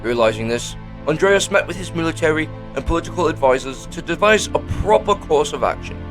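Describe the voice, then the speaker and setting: A man's voice: on the high side, lively intonation, fairly quick. A man narrating a fantasy audio drama in a scripted storybook style. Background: music.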